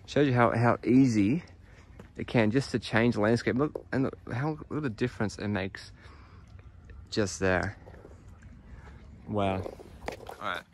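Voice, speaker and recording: A young man from Australia, walking and talking casually through large gardens, easily impressed and thinking out loud. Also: lowish voice, measured pace, pauses often, a little hiss or hum. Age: young adult. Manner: chatty, easily impressed, thinking aloud with restarts. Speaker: vlogger. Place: outdoors in large formal gardens. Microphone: phone camera at arm's length.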